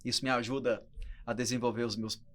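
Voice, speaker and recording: Man in his forties, Brazilian accent, mid-range pitch, podcast guest, casual conversational delivery. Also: level tone, a little fast. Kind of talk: casual conversational interview talk.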